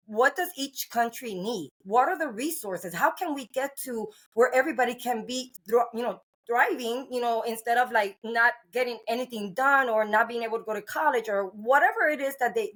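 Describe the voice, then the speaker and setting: A woman's voice: fairly high pitch, fairly bright tone. A middle-aged woman from Cuba hosting a podcast, talking in an earnest, rambling way with many restarts and fillers. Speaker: podcast host. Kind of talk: casual interview chat.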